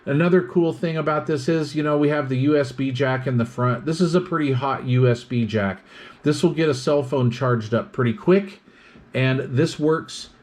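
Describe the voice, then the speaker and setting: A man's voice: medium pitch, a little mellow. A man giving a casual hands-on product review, explaining things step by step.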